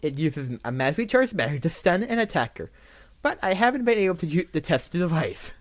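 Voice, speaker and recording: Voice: medium pitch, sing-song, fast talker, no echo, muffled recording, lightly acted. Story reader doing a mischievous pony princess mad scientist, giggly maniacal, voice goes up and down, really dry sound. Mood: sheepish explaining. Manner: breaks into maniacal laughs and devious chuckles.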